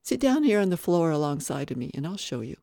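A woman's voice: deep voice, sing-song, fast, vocal fry, dark tone, no room sound, clean studio sound, heavily acted. A woman playing a hearty, playful grown-up visitor in a storybook, with jovial exclamations and old-fashioned turns of phrase. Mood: hearty, coaxing.

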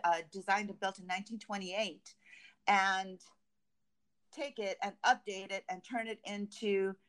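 A woman speaking as an interview guest about company work, conversational and enthusiastic, often halting as she searches for words. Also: medium pitch, fairly even intonation, fairly bright tone. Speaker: guest, construction company representative.

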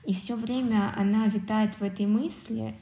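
Mellow-voiced woman podcaster in an echoey room. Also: mid-range voice, steady tone, moderate pace, creaky. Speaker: podcast host. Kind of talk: film discussion on a podcast. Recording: roomy, echoing sound.

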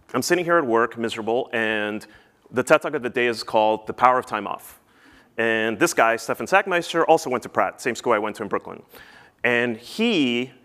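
Casting: man in his thirties, conference speaker, loose and rambling with lots of "uh"s, smooth clear voice. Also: mid-range voice, a bit bright. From born in Peru, grew up in the US. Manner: rambling, many fillers and restarts. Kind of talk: recorded conference talk.

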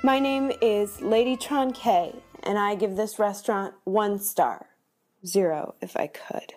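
A woman's voice: mid-range voice, measured pace, over music, close to an everyday voice. A woman playing an exasperated, melodramatic diner giving a theatrical, aggrieved reading of a scathing review. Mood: aggrieved, dramatic. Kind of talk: dramatic scripted reading over music.

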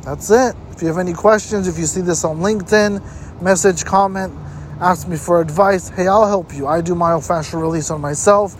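High-pitched man giving emphatic motivational advice. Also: normal speed, slight background noise, low-quality recording. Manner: emphatic, repeats points for stress. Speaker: host giving health tips. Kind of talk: motivational advice talk to camera.

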